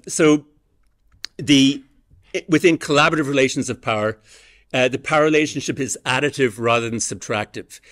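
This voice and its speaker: A man giving an academic lecture as an education professor, explaining ideas in a measured way with frequent hesitant fillers. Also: medium pitch, a bit bright.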